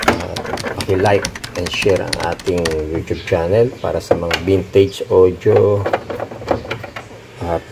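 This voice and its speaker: A Filipino man giving a casual hands-on tutorial, thinking aloud in a halting way as he works. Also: deep voice, gravelly, noisy background. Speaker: DIY repair vlogger.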